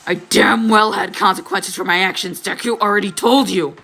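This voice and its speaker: A woman voicing an angry, foul-mouthed teenage boy with a gruff, combative manner, as in an audiobook drama. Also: mid-range voice, steady tone, normal speed, bright, forceful tone. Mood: defensive anger.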